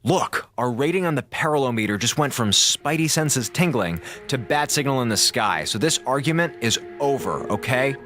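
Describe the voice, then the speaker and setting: Man as a flustered, animated space officer. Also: medium pitch, moderate pace, hardly any pauses, soft background music, natural voice. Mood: exasperated. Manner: stammering, nervous backpedaling. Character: flustered, evasive, placating male space station officer. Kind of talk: radio drama comedy acting.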